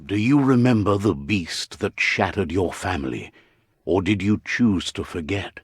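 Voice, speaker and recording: A solemn storyteller narrates a video game fable with theatrical weight. The voice is very low and moves slowly, with wide swings in pitch. It is wry and portentous, measured in every phrase. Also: male voice, animated, slightly rough, no room sound, full character voice. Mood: grave, warning. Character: storybook male narrator of a dying animal world, wry, solemn.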